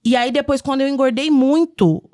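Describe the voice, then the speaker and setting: A woman in her twenties from the interior of Minas Gerais, a podcast guest telling childhood stories in an animated, chatty way. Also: high voice, a little fast. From interior of Minas Gerais, Brazil. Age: 20s. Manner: animated, chatty storytelling with laughs and "entendeu?" tags. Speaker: podcast guest, beauty communicator. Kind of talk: conversational interview, personal anecdotes.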